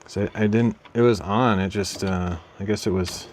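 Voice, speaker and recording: A man streaming from his craft room at home, chatting casually while he works, rambling and correcting himself in a mild, low-key way. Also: fairly even intonation, normal speed, a little hiss or hum.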